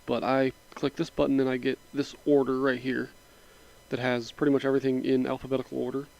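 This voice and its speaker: A man of middle pitch talks through a setup on his computer in a loose, unhurried way. His voice is a bit raspy from a sinus cold. He drifts between steps with small fillers and asides. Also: dull audio. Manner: relaxed, rambling, with frequent fillers. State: slightly raspy from a sinus infection. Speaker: mod tutorial narrator. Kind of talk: casual screen-recording walkthrough.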